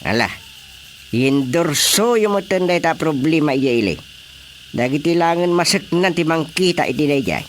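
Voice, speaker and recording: A Filipino actor playing a nosy, excitable village chairman in a radio comedy drama, full of exclamations and repeated interjections. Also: on the high side, normal speed, a little hiss or hum, close to an everyday voice. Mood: excited, concerned.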